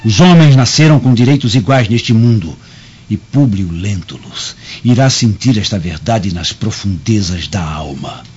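A Brazilian man acting a vengeful, desperate conspirator in a radio drama, with measured, solemn phrasing. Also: on the low side, lively intonation, darkish tone, breathy edge, heavily acted. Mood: bitter, impassioned. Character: vengeful desperate male conspirator against Roman masters. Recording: muffled lo-fi audio, music underneath.

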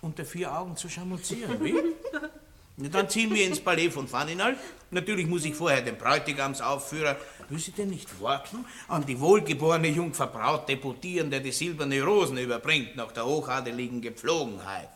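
Prahlerischer, lüsterner Landbaron mit österreichischem Akzent. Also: male voice, fairly high pitch, expressive, fairly quick, a bit bright, slight background noise. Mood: leering. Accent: Austrian. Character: boorish lecherous middle-aged country baron. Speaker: radio play actor. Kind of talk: 1960s radio drama acting.

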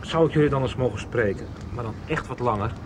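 An actor playing a polite, interested man in a radio soap, speaking in a measured way. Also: mid-range voice, close to an everyday voice. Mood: polite, regretful. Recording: distant voice in noisy outdoor scene.

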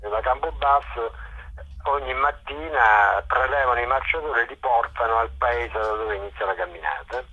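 A man's voice: mid-range voice, moderate pace, bright, forceful tone, breathy edge. A middle-aged man from Molise in Italy talking informally as an interview guest, hesitating and restating as he explains and tells his story. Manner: hesitant, self-correcting, chatty storytelling. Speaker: interview guest, general coordinator of a walking event. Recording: dull, telephone-like radio interview line.

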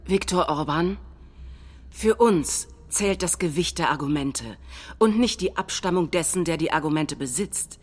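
A woman delivers scripted political quotations as a stage reading. Her voice sits somewhat low, and her delivery is measured and declamatory, like documentary theatre.

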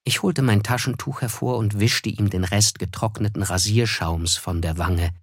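A man's voice: on the low side, normal speed, husky, no room sound, clean studio sound. An actor playing a reflective, observant young man, narrating a radio drama in the first person with a wry, literary manner.

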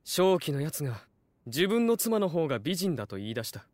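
A man's voice: fairly high pitch, big pitch swings, a little fast, a little mellow, no room sound. An actor playing a self-assured, doting nobleman with a formal, matter-of-fact manner in an audio drama. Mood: nonchalant. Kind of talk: Japanese audio drama acting.

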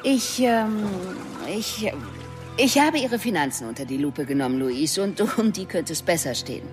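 A woman's voice: fairly low pitch, lively intonation, moderate pace, over music, close to an everyday voice. An actress playing a sardonic, menacing police detective in a radio drama, needling and sarcastic as she questions suspects. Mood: sardonic, probing. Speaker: police investigator.

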